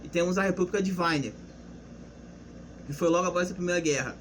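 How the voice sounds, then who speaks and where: Young man, high voice, casual history explainer, faint hiss behind. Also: quick pace, poor audio. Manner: loose, unscripted explaining with restarts and rhetorical questions. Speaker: history presenter. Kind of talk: casual spoken history lesson.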